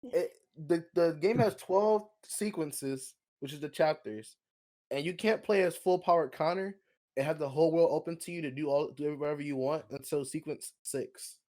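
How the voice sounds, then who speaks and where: A man's voice: fairly high pitch, normal speed, mellow. A man co-hosting a podcast, giving opinions in casual, slangy talk. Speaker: podcast co-host. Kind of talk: casual panel chat about games and films.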